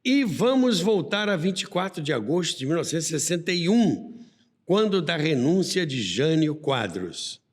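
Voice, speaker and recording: A man's voice: a little high, very animated, normal speed. A man with a Brazilian accent narrating a scripted documentary as the host of a radio history show. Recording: long reverberant hall sound.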